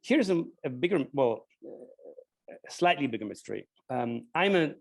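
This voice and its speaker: Male guest academic, German accent, bilingual German-Spanish native, gravelly creak, thoughtful halting answers. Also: medium pitch, sing-song. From grew up with German and Spanish. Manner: halting, repeats words while thinking. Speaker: guest speaker, linguist. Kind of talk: thoughtful spontaneous question-and-answer.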